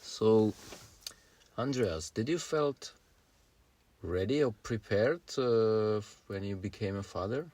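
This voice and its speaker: Slow, low-voiced Czech man on a podcast. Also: lively intonation, a little mellow, no room sound. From Czech Republic. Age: 40s. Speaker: podcast co-host. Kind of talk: casual podcast conversation.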